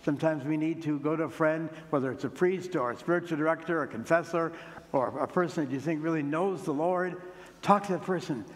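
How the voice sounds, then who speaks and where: An older male priest gives a conversational sermon in a large church, his fairly high voice ringing with a long reverb. He slows down and repeats key points for emphasis. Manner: plain, conversational preaching, with slow emphatic repetitions. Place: large church sanctuary, standing by the altar.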